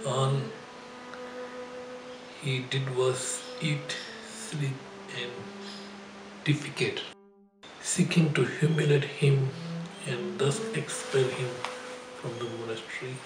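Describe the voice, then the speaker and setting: An older man, a Buddhist teacher, reads a scripted life story aloud in a halting, phrase-by-phrase way. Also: medium pitch, steady tone. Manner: halting, phrase-by-phrase delivery with frequent throat clearing. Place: indoor shrine room. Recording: roomy echo, music behind.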